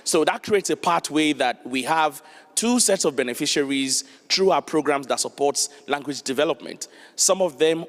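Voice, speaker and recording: A man giving an earnest panel talk, often saying "you know". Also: mid-range voice. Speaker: panel speaker from a non-profit. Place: large conference hall. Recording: long hall reverb.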